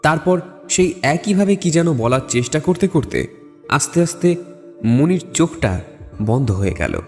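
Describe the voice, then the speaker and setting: An actor plays an earnest male friend telling a crime drama. His voice sits fairly high and is insistent, with soft music behind it. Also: big pitch swings, moderate pace. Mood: grave recounting. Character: urgent male friend bringing bad news, also narrating. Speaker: actor and story narrator. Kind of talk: dramatic Bengali audio story.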